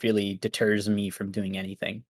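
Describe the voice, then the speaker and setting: A man's voice: fairly low pitch, level tone, normal speed, darkish tone. Laid-back young man from Pakistan. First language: Urdu. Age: young adult. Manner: laid-back, loose, filler-heavy with many likes and ums. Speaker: guest streamer. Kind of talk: casual podcast interview.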